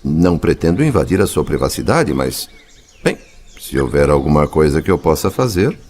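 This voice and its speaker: A man playing a caring, respectful spirit mentor in scripted drama, speaking formally and with measured concern. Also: rumbling low, big pitch swings, a little mellow, exaggerated voice. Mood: concerned, gentle. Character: caring, respectful male spirit mentor, privately anxious for family.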